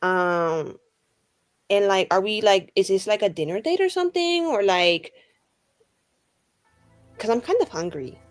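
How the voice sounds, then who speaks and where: A woman's voice: mid-range voice, expressive, a little slow, pauses often, faint music behind. A woman playing a tabletop roleplaying game, bantering casually in character with dry sarcasm.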